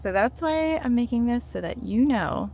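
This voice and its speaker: A woman's voice: fairly high pitch, lively intonation, moderate pace, dark tone, slight background noise. A woman in her thirties talking casually to camera, earnest and encouraging, with many fillers and restarts. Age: 30s. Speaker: vlogger sharing her withdrawal experience.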